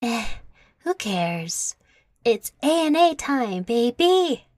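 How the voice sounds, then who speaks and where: A woman plays a young prankster fairy, nonchalant and slangy. Her voice is on the high side and very lively in pitch, with an unhurried pace. It is an audio roleplay with a dry, close sound. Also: dry recording, exaggerated voice. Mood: laid-back. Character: laid-back, mischievous young female prankster fairy.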